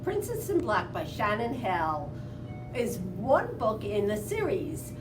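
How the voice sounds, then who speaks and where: A woman, a children's librarian, giving an informal book recommendation in a halting way, pausing mid-word. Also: medium pitch, very animated. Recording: roomy, distant mic, music behind.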